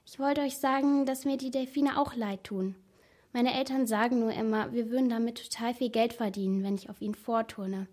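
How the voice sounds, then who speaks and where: Woman playing a nervous, higher-pitched schoolgirl confiding. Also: fairly even intonation, fairly quick, darkish tone, close to an everyday voice. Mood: confiding, pleading. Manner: earnest, confiding, half-whispered. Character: excited, nervous schoolgirl of about thirteen, secretive.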